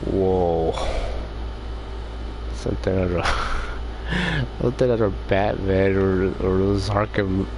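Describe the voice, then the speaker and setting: A man's voice: sits low, slow, noisy background. A man casually commenting while playing a game, reading its text aloud with mumbled asides. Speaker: gamer.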